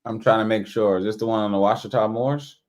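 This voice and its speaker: A man's voice: fairly low pitch, fairly even intonation, quick pace, darkish tone. A man taking part in the stream, hesitant and distracted by his phone. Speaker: participant on the stream.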